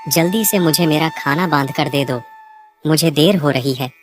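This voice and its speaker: A woman's voice: deep voice, moderate pace, faint music behind, lightly acted. Woman as impatient simple village husband. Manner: coaxing, mildly impatient. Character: simple, honest village boatman, hurried henpecked husband. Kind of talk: cartoon story dialogue.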